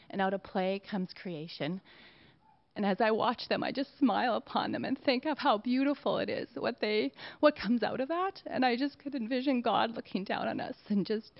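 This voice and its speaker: Woman, slightly higher voice, soft reflective sharing, dry dull sound. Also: no room sound. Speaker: church member sharing.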